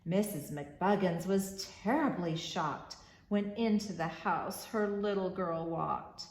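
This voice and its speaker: A woman reading a rhyming children's storybook aloud as storyteller, expressive and measured in a rhythmic way. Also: fairly low pitch, roomy sound.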